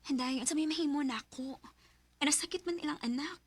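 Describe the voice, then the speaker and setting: A woman's voice: high-pitched, fairly quick, no echo, natural voice. A woman with a Cebuano accent playing a trusting, patient girlfriend in a Filipino radio drama. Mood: defensive, trusting.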